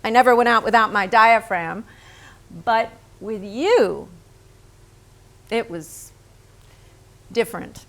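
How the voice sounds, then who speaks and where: An actress playing a frank, smitten woman painter in her thirties, in a theatrical staged reading with deliberate, intimate dramatic delivery. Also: on the high side, animated, a bit bright, full character voice. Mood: brisk, frank.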